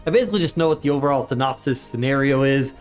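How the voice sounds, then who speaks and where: A chatty man streams a game from his home setup, talking to his viewers in an easy, upbeat way. His voice is mid-pitched and a little congested. Game music plays faintly behind him. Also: very fast. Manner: chatty, upbeat, greets chat often. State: slightly congested from a cold. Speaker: livestream gamer. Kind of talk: casual livestream commentary.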